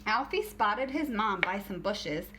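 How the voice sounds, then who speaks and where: A woman teacher reading a picture book aloud to young children in an expressive, deliberate storytelling way. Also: mid-range voice, background noise.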